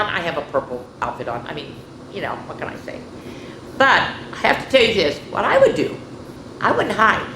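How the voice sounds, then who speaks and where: Echoey, expressive older woman telling stories. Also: lowish voice. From rural United States, farm. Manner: expressive, folksy, addressing children directly. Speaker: children's storyteller and host. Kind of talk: read-aloud storytime with chatty asides. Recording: echoey room with background noise.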